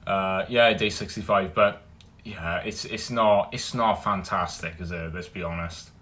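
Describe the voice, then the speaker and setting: A young Welsh man hosting a casual livestream, greeting viewers by name and chatting loosely, loud when he greets people and low and mumbled when he muses. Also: unhurried. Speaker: livestream host. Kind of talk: casual livestream chat reading comments.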